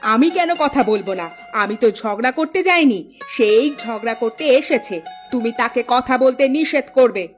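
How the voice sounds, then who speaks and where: High-pitched quarrelsome village wife acted by a woman. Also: animated, measured pace. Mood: indignant. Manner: scolding, indignant, complaining. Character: quarrelsome, easily offended village wife, nagging her husband. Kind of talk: Bengali cartoon comedy acting. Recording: music behind the voice.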